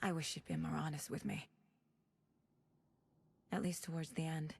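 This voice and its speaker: An actress playing a determined woman investigator with a seer's intuition in a video game, speaking in a measured, intent way that is at times hushed. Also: deep voice, level tone, creaky, a little hiss or hum. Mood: wistful. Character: determined woman seer investigator, probing and reflective. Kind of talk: video game acting, introspective monologue.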